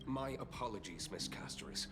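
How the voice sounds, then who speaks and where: An actor playing a deferential, formal attendant in a video game, apologetic in manner. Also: male voice, on the low side, animated, normal speed, over music, close to an everyday voice.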